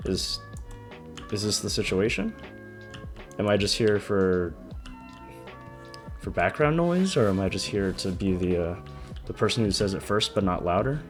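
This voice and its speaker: A young man in his twenties with a deep voice, rambling hesitantly about his life in a personal vlog, often stopping and restarting. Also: expressive. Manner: hesitant, halting, frequent restarts. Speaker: vlogger. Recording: music playing under the voice. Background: background music.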